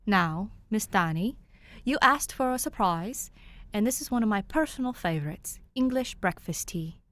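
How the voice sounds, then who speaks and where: A woman voicing a courteous, gently proud elderly English butler in an audiobook story, speaking formally and with measured care. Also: mid-range voice, animated, dry recording, close to an everyday voice. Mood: courteous, instructive.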